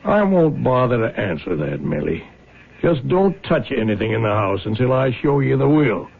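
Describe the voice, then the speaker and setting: An actor playing a weary, guarded aging American lawyer and father in a 1970s radio drama, with a gravelly, husky voice. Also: medium pitch, sing-song, moderate pace, heavily acted. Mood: firm, restrained. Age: older. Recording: muffled vintage radio recording.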